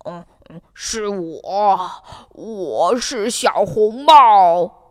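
A woman playing a sly, menacing male wolf in a children's fairy tale, with drawn-out, exaggerated delivery. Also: mid-range voice, expressive, big-room sound, voice pushed down. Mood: sly, pretending.

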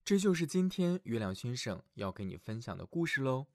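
A man narrating fairy tales for children as a storyteller, reading expressively and dramatically from a script with clear phrasing. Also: mid-range voice, sing-song, fairly quick, darkish tone, a little hiss or hum. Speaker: children's storyteller, show host.

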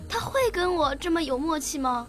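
Little girl played by a woman, nine years old, high-pitched, earnest, eager, emotional, radio drama, music behind. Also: fairly quick, close to an everyday voice. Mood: curious, questioning. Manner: childlike, pleading and eager. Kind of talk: radio drama acting with first-person narration.

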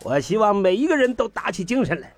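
Self-important boss, high male voice, animated, declamatory, speech-making, audio drama. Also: fast talker, soft background music, exaggerated voice. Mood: pompous rallying. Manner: pompous, declamatory.

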